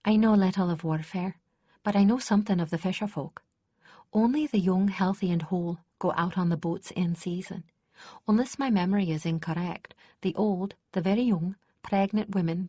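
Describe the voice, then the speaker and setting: A woman's voice: mid-range voice, warm tone, no echo, close to an everyday voice. Woman reader doing a polite earnest young herald, talks it through carefully. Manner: polite, reasoning.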